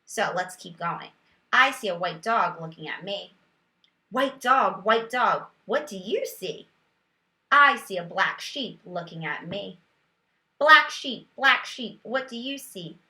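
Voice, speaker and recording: A woman teacher demonstrating a children's read-aloud, moving between explanation and expressive, sing-song reading with questions for a child. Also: medium pitch, takes it slowly. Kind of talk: read-aloud lesson with reading tips for parents. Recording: roomy echo.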